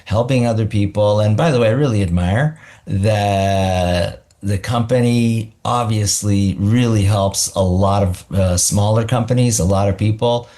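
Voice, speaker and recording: A man's voice: lowish voice, unhurried, few pauses, mellow. A man hosting a podcast interview, talking reflectively and searching for his words. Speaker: podcast host. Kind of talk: casual interview conversation.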